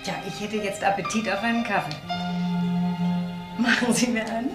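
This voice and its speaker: A woman acting a kindly middle-aged wife in a crime radio play. She speaks at a medium pitch, courteous yet direct, with clear music behind her. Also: normal speed, lightly acted. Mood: friendly, forward. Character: kindly, forthright married middle-class woman. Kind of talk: naturalistic German crime radio drama acting.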